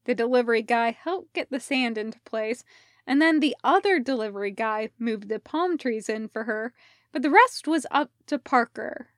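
A woman's voice: fairly high pitch, animated, moderate pace, no echo, clean studio sound. A woman reading a story aloud as scripted narration. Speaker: podfic reader. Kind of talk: scripted narration of fan fiction with acted dialogue.

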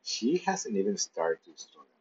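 Animated man telling a playful pet story. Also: fairly low pitch, normal speed, highs cut off. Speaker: pet owner narrating. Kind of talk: first-person storytelling from a pet's view.

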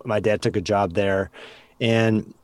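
A man with a Midwestern American accent, talking in a relaxed, reflective way as an interview guest, filling pauses with "you know". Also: fairly low pitch, no echo. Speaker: podcast co-host, interview guest. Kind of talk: casual interview chat.

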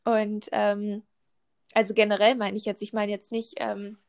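A woman's voice: medium pitch, little pitch movement, unhurried, vocal fry. A pregnant woman in her twenties in a casual chat, talking with lots of fillers and restarts. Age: 20s. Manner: chatty, many fillers and restarts.

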